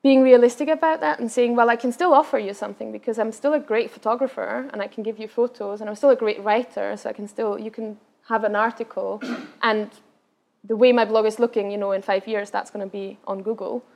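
Brisk Austrian woman with Scottish touches. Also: a little high, fairly even intonation, creaky, a little mellow. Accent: Austrian German with Scottish touches. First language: Austrian German. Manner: fast, chatty, many fillers and restarts. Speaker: guest speaker. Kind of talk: live Q&A answers at an event.

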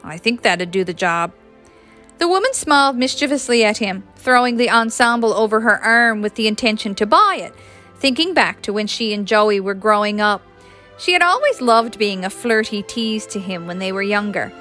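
A woman's voice: fairly high pitch, expressive, normal speed, pushed and bright, soft background music. A woman reading a scripted story aloud as a narrator.

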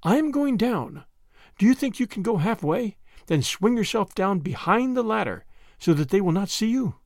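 A man voicing a quick-witted young girl in an audiobook, with an urgent, secretive manner. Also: high-pitched, sing-song, vocal fry, dry recording, clean studio sound, voice pushed up, exaggerated voice. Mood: secretive, brisk. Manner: hushed urgency, rising to theatrical French exclamations.

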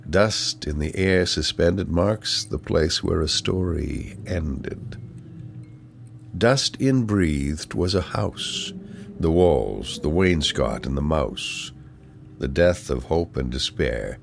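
A man reciting poetry solemnly and deliberately, with weighty pauses and a gravelly voice. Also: extremely low pitch, slight background noise. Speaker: poetry reader.

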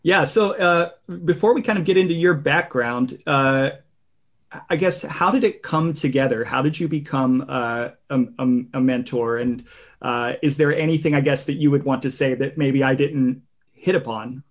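A man hosting a podcast in a conversational interview style, with a halting delivery. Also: medium pitch. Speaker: podcast host.

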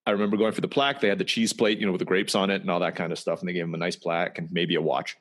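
A man who grew up in Turkey, telling his life story in a relaxed, conversational way, sometimes restarting and repeating words. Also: deep voice, rapid-fire. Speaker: interview guest. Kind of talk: storytelling personal history.

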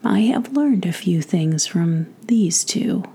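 A woman voicing a big, kindly but formidable male giant king in a storybook, with slow, courtly, musing speech. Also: lowish voice, lively intonation, creaky, warm tone, husky, heavily acted. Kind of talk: bedtime storybook character voice.

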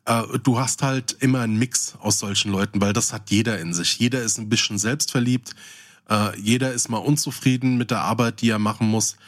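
Low-voiced man, podcast co-host. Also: steady tone, fairly quick. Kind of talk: casual podcast chat with some read-out character descriptions.

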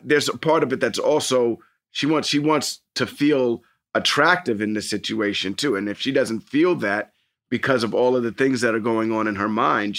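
A man co-hosting a casual podcast, animated and joking, jumping in with questions and asides. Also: medium pitch. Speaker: podcast co-host. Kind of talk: casual chat.

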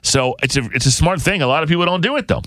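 A man's voice: on the high side, animated, fast, fairly bright tone, dry recording. A man hosting a radio show's podcast in casual, unscripted chat, rambling and often interrupting himself. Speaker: radio show host.

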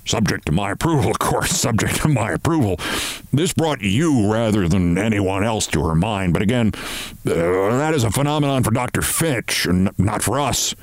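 A man's voice: lowish voice, animated, no room sound, natural voice. A man voicing a pompous older male boss in a story, formal and regretful, hemming and hesitating as he goes. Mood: hesitant, regretful. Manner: halting, formal, hemming with ums and uhs. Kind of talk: storybook character voice.

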